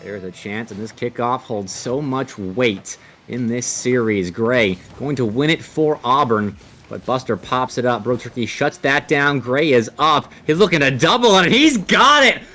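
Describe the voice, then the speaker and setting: A man's voice: medium pitch, animated, unhurried, sharp tone, a little hiss or hum. A man commentating live on a video game match as an esports caster. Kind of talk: live Rocket League play-by-play and banter.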